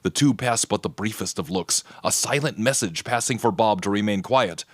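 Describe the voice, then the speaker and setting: A man's voice: fairly low pitch, normal speed, no echo. A man giving scripted, present-tense dramatic narration for an audio mystery.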